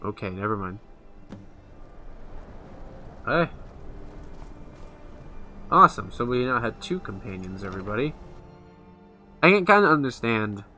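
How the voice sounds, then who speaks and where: A man's voice: medium pitch, very animated, measured pace, pauses often, pushed and bright, faint music behind. A man giving casual let's play commentary, informally talking back to the game characters. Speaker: gamer, video creator.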